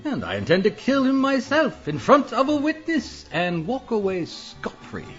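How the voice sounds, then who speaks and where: An actor playing a genteel, scheming British publisher in a radio mystery, speaking in an urbane, theatrical, condescending drawl. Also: male voice, extremely high pitch, wildly swooping pitch, normal speed, a bit bright, full character voice. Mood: cold resolve. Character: genteel, scheming widowed male publisher, calmly plotting murder. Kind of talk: radio mystery drama acting. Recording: dull band-limited audio with music.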